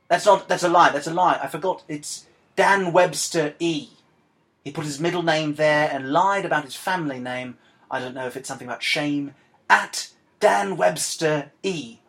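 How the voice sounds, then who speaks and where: A man playing a dead Argentinian woman writer as a comic podcast host, world-weary and scornful, with a halting, deadpan, exasperated delivery. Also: fairly high pitch. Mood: dry, muddled. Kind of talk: comic in-character monologue and dramatic reading.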